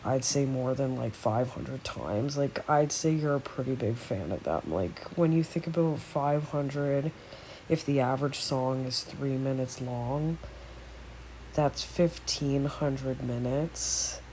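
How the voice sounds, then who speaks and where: A woman's voice: a bass voice, slow, mellow, slight background noise, rough recording. A woman with a cold and a nasal voice, chatting casually and rambling with many fillers while making a music tier list. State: congested with a cold. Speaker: music vlogger. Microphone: phone.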